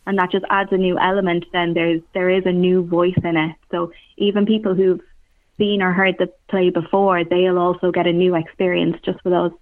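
A woman's voice: lowish voice, little pitch movement, normal speed, no room sound. A woman with an Irish accent from Cork, chatting informally and thinking aloud as a theatre director in a casual interview. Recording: very phone-like, narrow-band sound.

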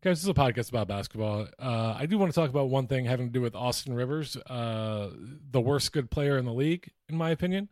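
A man with a mid-pitched voice talks casually as a podcast host, joking about sports. He is mocking and freely profane, and the sound is close and dry. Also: lively intonation, fast, hardly any pauses, a little mellow, no room sound. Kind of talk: casual comedic sports chat.

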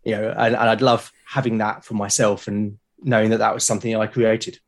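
A British man talking as a podcast guest, explaining his creative process in a reflective, halting way. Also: lowish voice, little pitch movement. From Britain. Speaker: podcast guest, creator of a solo role-playing show.

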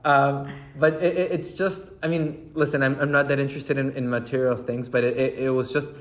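A man's voice: mid-range voice, flat delivery, a little fast. A man, a brand founder telling his story in a casual interview, laughing often and restarting his sentences. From Chile.

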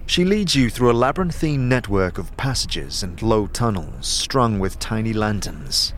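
Low-pitched man, expressively narrating dark fantasy. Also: lively intonation, a little slow, slight background noise. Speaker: narrator and game storyteller. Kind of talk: atmospheric scripted fantasy narration.

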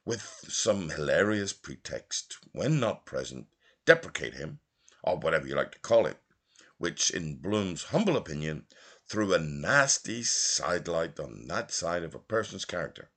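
A middle-aged man from Ireland, reading literary prose expressively in measured, theatrical phrases with deliberate pauses. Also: deep voice, sing-song, a bit bright. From Northern Ireland. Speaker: audiobook reader.

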